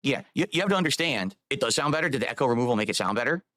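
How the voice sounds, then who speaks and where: A man's voice: mid-range voice, animated, racing, fairly bright tone, dry recording. A man live-streaming, rambling while he fiddles with his microphone and audio settings.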